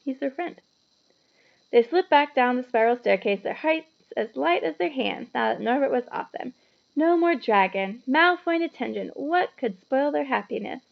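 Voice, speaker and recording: Lady reading a kids book out loud, creaky highish voice, chatty teacher type, kinda lo-fi audio. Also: normal speed. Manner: animated, explaining. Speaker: teacher reading a children's novel aloud. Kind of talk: expressive read-aloud with chatty asides to children.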